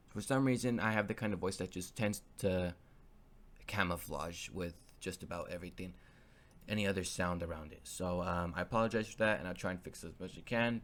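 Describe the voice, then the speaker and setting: A man hosting a gaming video, rambling casually with many fillers and restarts as he comments on his play. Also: sits low. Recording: loud game sounds and hoofbeats behind.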